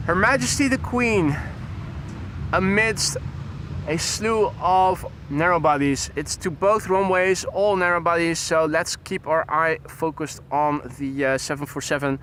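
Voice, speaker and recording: A man's voice: a little high, unhurried, fairly bright tone, slight background noise. A man hosting a live plane-spotting stream, chatting and greeting viewers by name as he commentates. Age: 40s.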